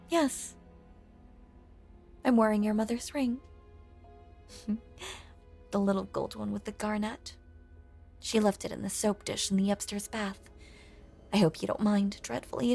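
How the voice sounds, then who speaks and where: A woman playing a lonely, possessive female ghost in an intimate audio drama monologue, hushed and coaxing, with genteel old-fashioned phrasing and a breathy voice that often sinks toward a whisper. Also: mid-range voice, unhurried, long pauses, faint music behind. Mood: sweetly sinister.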